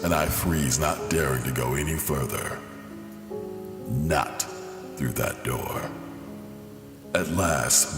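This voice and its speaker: A man as a brooding psychiatrist narrator, extremely deep, gravelly, measured, haunted, comic-book drama, reverberant with music. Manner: measured, brooding, pausing between phrases. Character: somber, haunted male psychiatrist narrating his journal. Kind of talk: dramatic first-person scripted narration. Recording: reverberant echo under music.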